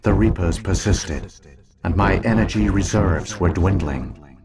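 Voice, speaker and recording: An actor playing an ancient alien artificial intelligence in a video game, solemn and coldly logical, speaking in measured, formal phrases. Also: male voice, low voice, lively intonation, crowd noise. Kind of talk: scripted video game dialogue.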